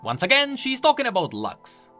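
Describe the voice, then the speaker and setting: Bright, animated male lore explainer. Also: very high voice, normal speed, soft background music. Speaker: video creator explaining game lore. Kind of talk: enthusiastic explanatory video commentary.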